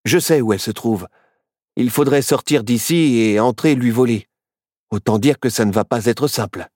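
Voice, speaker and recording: A man's voice: medium pitch, moderate pace, darkish tone, no room sound, studio quality, lightly acted. A man voicing a serious, sensible journalist turned parrot, explaining with composure in a children's story.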